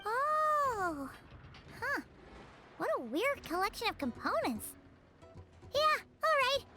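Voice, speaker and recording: A woman voicing a small, excitable, childlike female game companion who talks in a casual, cheeky, exclamatory way. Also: very high-pitched, takes it slowly, over loud music, heavily acted. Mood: curious, chirpy. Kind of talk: animated video game voice acting.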